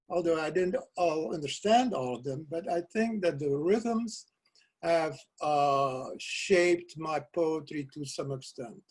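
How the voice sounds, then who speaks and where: An older man, a Dutch native speaker from South America, talking as a guest poet over a video call from a cluttered home office, soft-spoken and hesitant with restarts. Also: on the high side, poor audio. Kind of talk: casual video call interview. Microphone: video call webcam.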